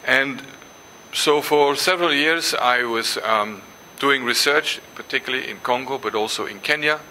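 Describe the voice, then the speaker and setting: Older man, native Dutch speaker, lecture hall, very bright tone, faint background noise, halting delivery. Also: medium pitch. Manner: hesitant, many ums and restarts. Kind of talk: symposium lecture with reading aloud.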